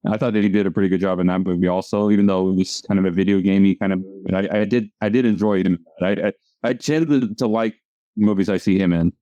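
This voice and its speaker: A man's voice: low voice, steady tone, fast, warm tone, dry recording. A man, a comic strip creator, chatting casually as an interview guest, rambling and often restarting his sentences. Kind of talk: casual conversation. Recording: dull lo-fi audio.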